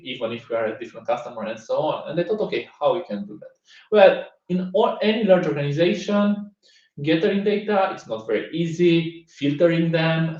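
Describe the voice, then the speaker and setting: A man from Romania giving a conversational online tech talk, telling a work story with frequent fillers and restarts. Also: on the high side. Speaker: conference speaker. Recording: roomy, distant, dull sound.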